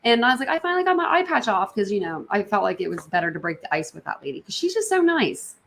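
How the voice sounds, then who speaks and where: Female livestream host, medium pitch, casual reaction chat, rambling and repetitive, addressing viewers directly. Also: big pitch swings, fast talker, creaky, a bit bright, slight background noise.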